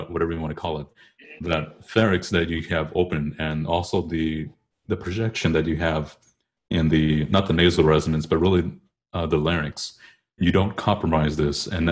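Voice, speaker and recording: Deep-voiced man explaining singing technique. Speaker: choral bass singer discussing vocal technique.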